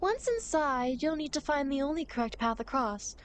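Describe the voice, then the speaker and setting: Demon girl guide for ASMR roleplay, played by a woman: high voice, smug, playful, theatrical teasing, some ambient noise behind. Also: sing-song, moderate pace. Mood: smug, explanatory. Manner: theatrical, teasing, mock-grand phrasing. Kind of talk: ASMR roleplay, acted monologue to the listener.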